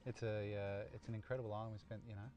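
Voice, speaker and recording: A man's voice: lowish voice, little pitch movement, very fast, a little mellow, soft background music. An Australian man interviewing casually, with loose phrasing and plenty of "you know". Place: outdoors by a lake.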